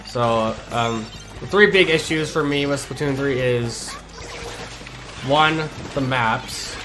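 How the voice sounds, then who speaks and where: A man's voice: mid-range voice, slow, over music, low-quality recording. A man hosting a gaming channel, chatting casually over gameplay while stuffy from a cold, laid-back and hesitant with lots of ums and restarts.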